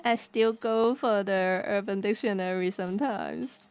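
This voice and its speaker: A woman from Hong Kong with a Cantonese accent, chatting casually on stream, halting and restarting as she searches for words. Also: medium pitch, darkish tone. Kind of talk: casual chat while drawing.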